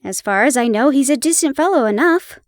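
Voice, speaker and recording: A woman's voice: high-pitched, animated, a little fast, no echo, clean studio sound. A woman voicing a friendly, chatty young male hospital dresser in a read-aloud story.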